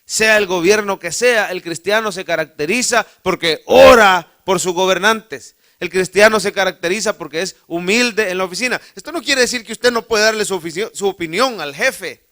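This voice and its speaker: A man's voice: high voice, moderate pace, hardly any pauses, sharp tone. A man with a Salvadoran accent preaching in church, telling a folksy, humorous personal story and calling his listeners brother. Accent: Salvadoran Spanish. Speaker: pastor. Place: church sanctuary.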